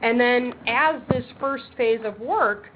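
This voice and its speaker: A woman chairing a webinar for an advisory board, talking briskly and practically with frequent ums. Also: fairly high pitch. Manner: says she has a loud voice. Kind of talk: webinar presentation. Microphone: speakerphone on a table. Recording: tinny phone line with faint background noise.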